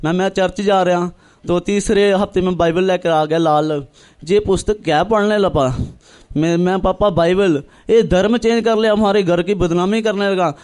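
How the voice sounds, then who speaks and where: A young man from northern India tells his religious testimony earnestly, in halting, repetitive phrases, acting out remembered dialogue. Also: sits high, steady tone, darkish tone, clean tone. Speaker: church member giving testimony. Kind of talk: personal religious testimony, storytelling.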